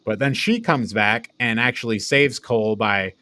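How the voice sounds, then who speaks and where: A man's voice: medium pitch, moderate pace, sharp tone, dry recording. A man co-hosting a casual, informal review discussion, recapping things in an offhand way. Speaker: podcast co-host reviewing films.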